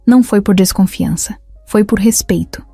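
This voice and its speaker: A woman's voice: medium pitch, moderate pace, dark tone, slightly rough, no echo, faint music behind. A woman voicing a grateful, earnest male company boss who speaks sincerely.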